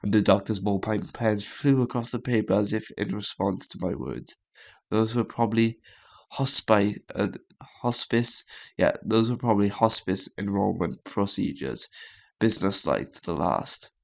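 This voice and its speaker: A man reading a visual novel story aloud as a gaming host, in a halting way with stumbles and repeated phrases. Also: fairly low pitch, vocal fry.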